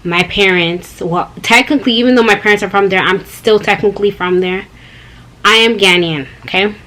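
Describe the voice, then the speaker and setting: A woman's voice: medium pitch, normal speed, sharp tone, rough recording. A young woman, talking straight to her viewers in a rambling, chatty personal vlog. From Canada. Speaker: vlogger. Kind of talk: casual personal vlog talk.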